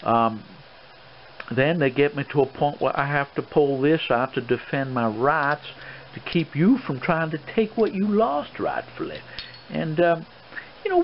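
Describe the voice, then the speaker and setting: Old gentleman colonel played by a man, swashbuckling gambler for ASMR role-play: boastful, paternal, hesitant folksy rambling, dull lo-fi audio with plain background noise. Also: a little high, pitched above the performer's own voice, exaggerated voice. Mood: stern, boastful. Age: older.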